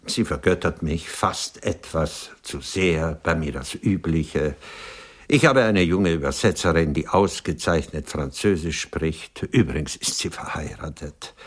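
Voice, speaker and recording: An aging man of letters in a radio play. His voice is low and husky. He speaks with formal gallantry and dry restraint, and a touch of vanity. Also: full character voice. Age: 60s. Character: courteous, vain aging gentleman writer, 69. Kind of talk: radio drama dialogue.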